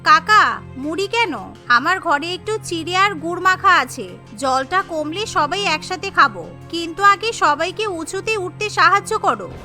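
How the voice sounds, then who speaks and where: A woman plays a practical village housewife in a cartoon, always eager to help. The voice is strikingly high and bright, earnest and encouraging, with soft music behind it. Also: lively intonation, a little slow, heavily acted. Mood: helpful. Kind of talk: cartoon character dialogue.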